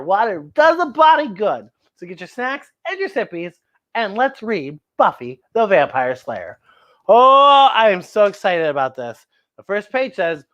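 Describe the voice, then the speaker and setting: A woman hosting a children's story time, excitable and playful, with exaggerated expressive storytelling. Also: mid-range voice.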